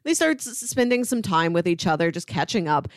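A woman's voice: lowish voice, sing-song, brisk, no room sound, clean studio sound. A woman co-hosting a podcast, talking casually and conversationally as she discusses stories. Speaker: podcast co-host. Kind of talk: casual discussion of comics and film.

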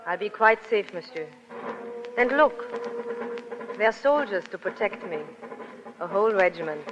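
A woman's voice: mid-range voice, expressive, noisy background, natural voice. A woman playing an aloof, independent cabaret singer in a 1930s radio drama. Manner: reserved, unhurried, aloof. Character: cool, independent foreign cabaret singer, world-weary young woman. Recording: dull vintage 1930s radio recording.

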